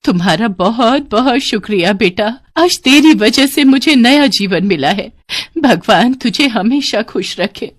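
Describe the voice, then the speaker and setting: A woman's voice: a little high, expressive, unhurried, dry recording, voice pushed up. A suffering, humble old woman from a children's tale, acted by a woman. Her delivery is plaintive and heartfelt. The recording is dry and close. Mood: grateful. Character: suffering elderly woman, pleading and grateful.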